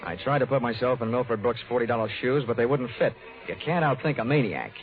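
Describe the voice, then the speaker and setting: Through a narrow, tinny old radio recording with music comes a hardboiled private eye played by a male actor. He talks at a middle pitch, dry and wry, clipping off wisecracks as he narrates his case in 1940s radio drama style. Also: expressive, quick pace, natural voice. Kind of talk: 1940s radio detective acting.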